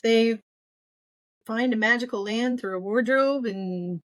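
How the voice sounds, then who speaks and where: A woman's voice: fairly high pitch, takes it slowly, dry recording, studio quality. A woman co-hosting a casual book discussion, making her points emphatically and repeating them for stress. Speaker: podcast co-host.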